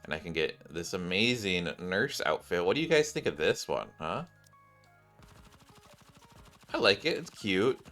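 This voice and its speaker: A man doing casual game-stream commentary in an animated way, thinking aloud and sometimes reading on-screen text. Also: low-pitched, normal speed. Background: game music.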